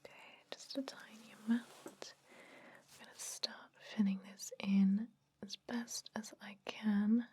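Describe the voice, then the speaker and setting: A woman playing a gentle, chatty vampire dentist in an ASMR roleplay, whispering slowly and breathily to soothe and confide. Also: medium pitch, level tone, husky. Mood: soothing, clinical. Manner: slow, soothing, hesitant, confiding.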